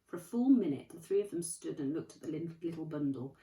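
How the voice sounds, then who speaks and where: A woman, a primary school teacher, reading a storybook aloud in an expressive manner. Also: low voice, quick pace, distant mic. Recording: roomy, from off the mic.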